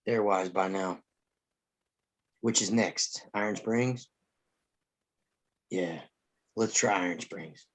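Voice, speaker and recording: Slow, low, steely Western lawman acted by a man. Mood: grave, decisive. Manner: terse, quiet, deliberate. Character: grim, calm, steely lawman gunfighter. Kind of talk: live table-read acting.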